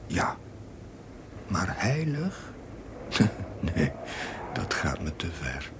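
An actor playing a young, ailing chaplain who reflects wearily and wryly, narrating his diary in a measured, literary way that often sinks to a whisper. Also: low-pitched, very animated, exaggerated voice. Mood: wryly musing. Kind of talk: dramatic diary narration for a television drama. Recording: noisy old 1970s television soundtrack.

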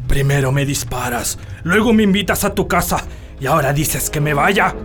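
A man's voice: a little high, expressive, moderate pace, close to an everyday voice. An actor playing a mysterious, menacing male stranger in a radio drama. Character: sinister, slow-spoken mysterious male stranger, shapeshifting bird man. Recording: music behind the voice.

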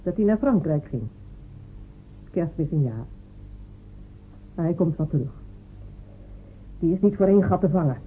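An actress playing a sharp-tongued female village cobbler and loyal wife, who talks in a dry, teasing, stubborn way. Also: fairly low pitch, lively intonation, moderate pace, pauses often, husky. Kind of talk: radio drama acting. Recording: phone-like old radio recording.

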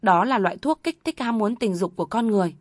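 A woman's voice: medium pitch, fast, dry recording, studio quality, natural voice. Woman voicing a firm, protective young husband. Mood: earnest. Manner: earnest, pleading then firm.

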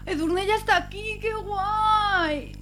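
A woman playing an excitable, posh young woman who gushes over her purchases. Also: very high voice, slow pace, soft background music, heavily acted. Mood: excited. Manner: gushing, excited.

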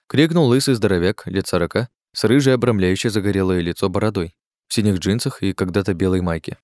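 A man reading an audiobook in steady, descriptive scripted narration. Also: low-pitched, fast, no echo, studio quality.

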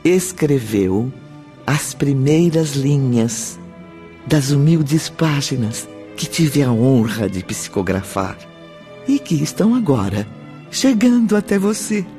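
Guy narrating a radio play, really slow and solemn, expressive voice, faint music behind. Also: mid-range voice. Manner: measured, solemn, emphatic. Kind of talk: dramatic scripted narration. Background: soft background music.

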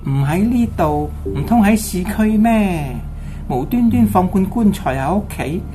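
A woman playing a solemn Taoist master in a radio drama, lecturing with measured authority. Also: mid-range voice, lively intonation, music in the background, close to an everyday voice. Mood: instructing. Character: solemn, knowing Taoist master giving ritual advice. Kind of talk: Cantonese radio drama acting.

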